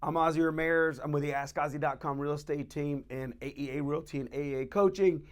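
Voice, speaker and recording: A man's voice: fairly high pitch, fairly even intonation, fast talker. A man speaking as a real estate coach, talking straight to fellow agents in a blunt, emphatic and informal way. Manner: blunt, emphatic, repeats points; informal, colloquial.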